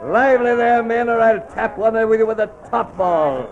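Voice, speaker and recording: An actor playing an obsessed, commanding old whaling captain in a gravelly voice, with theatrical, archaic oratory in a classic radio drama style. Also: male voice, on the high side, expressive, slow pace, lo-fi sound, a crowd in the background, exaggerated voice. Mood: commanding, fierce. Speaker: ship's captain.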